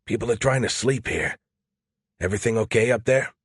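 A man plays a weary, easygoing soldier in a sci-fi audiobook. He speaks fairly low, casual and dryly defensive, in a close dry recording. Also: brisk, husky, no echo. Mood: tired, irritated.

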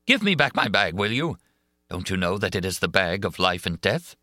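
A man's voice: fairly low pitch, sing-song, moderate pace, bright, forceful tone, no echo, clean studio sound, voice pushed up, heavily acted. A man voicing a menacing, jealous male monster who is angry and mocking.

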